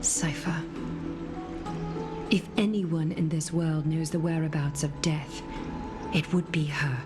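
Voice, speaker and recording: A woman voicing a solemn, composed leader in a video game, speaking formally and with measured poise. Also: sits low, steady tone. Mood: solemn, grave. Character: solemn, composed female leader weighing omens and fate. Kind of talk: scripted video game dialogue. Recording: music under the voice.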